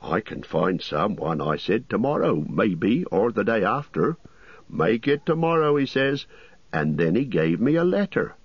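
A man voicing a fussy, apologetic middle-aged innkeeper who chatters and rambles, with a husky voice. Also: lowish voice, big pitch swings, unhurried, no room sound, muffled recording, pitched above the performer's own voice, full character voice. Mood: fussy, rambling.

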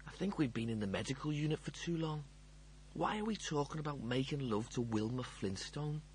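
A man's voice: medium pitch, animated, a little fast, a little hiss or hum, pitched above the performer's own voice, natural voice. A man voicing a grumpy, blunt and bewildered young man for an audiobook. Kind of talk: audiobook character voice.

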